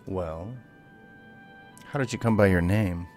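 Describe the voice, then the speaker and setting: A man's voice: low-pitched, takes it slowly, darkish tone, faint music behind. A man hosting a gaming video, narrating casually and speaking voice commands to the game. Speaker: video host and gamer.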